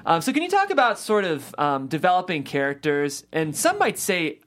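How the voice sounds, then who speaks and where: A woman's voice: lowish voice, huge pitch swings, moderate pace. A woman hosting and reading aloud, as at a script table read. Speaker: host and script reader. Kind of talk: reading screenplay action aloud, then interviewing the writer.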